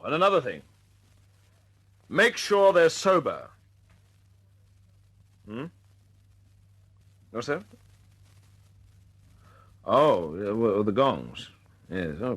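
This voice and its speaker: A man acting in a radio drama as a young British bomber squadron leader, genial and wry, with a husky voice and a halting, conversational British delivery. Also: medium pitch, exaggerated voice.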